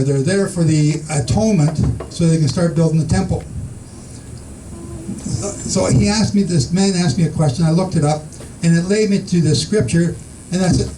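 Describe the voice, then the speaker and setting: A man's voice: fairly high pitch, distant mic, background noise. A man teaching an informal Bible study to a small group, reading scripture aloud and asking his listeners questions. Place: room with a small group in the Philippines.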